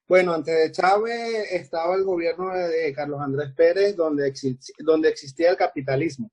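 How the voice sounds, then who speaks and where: A man from Venezuela with a Venezuelan accent, an interviewee speaking briskly and confidently. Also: on the high side, a little mellow. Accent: Venezuelan Spanish.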